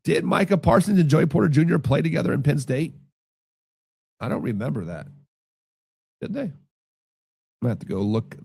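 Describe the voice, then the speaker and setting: Hoarse sick man talking football. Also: on the high side, a little mellow, dry recording, studio quality. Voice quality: hoarse, gravelly. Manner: rambling, repeats himself, lots of fillers. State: losing his voice, sick. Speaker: podcast host. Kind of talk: casual sports talk reading live chat.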